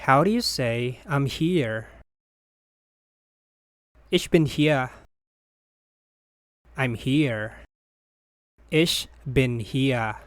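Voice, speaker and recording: A language teacher drills short phrases at a very slow, measured pace and repeats each one with care. The voice sits at a middle pitch. The recording is dry and close, with no room sound. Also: no room sound.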